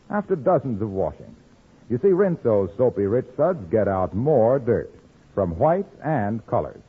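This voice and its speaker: A man's voice: medium pitch, a little hiss or hum. An American man delivering a 1940s radio commercial as an emphatic, salesmanlike announcer. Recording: muffled old radio recording.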